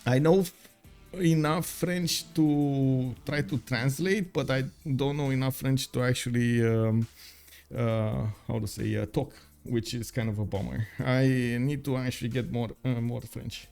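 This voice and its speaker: Young male live caster, native Romanian speaker, filler-heavy and upbeat, talks to chat, medium pitch. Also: fairly even intonation, a little slow, gravelly, a little mellow, soft background music. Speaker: game caster. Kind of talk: live esports commentary.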